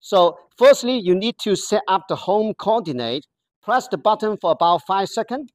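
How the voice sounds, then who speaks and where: A presenter gives a product walkthrough in careful, halting, non-native English, pausing often to find words. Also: mid-range voice, lively intonation, no echo. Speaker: product demonstrator.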